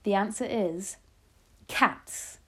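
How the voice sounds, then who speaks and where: A woman's voice: medium pitch, moderate pace, natural voice. A woman voicing an eager, clever and slightly anxious young boy turned mouse in a storybook reading. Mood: excited. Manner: excitable, earnest exclamations. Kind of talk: storybook read-aloud.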